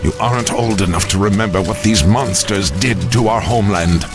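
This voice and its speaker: A man's voice: fairly low pitch, normal speed, music in the background, lightly acted. An actor playing a stern old male admiral, commanding and contemptuous, in a game cutscene. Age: older. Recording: game cutscene with loud score.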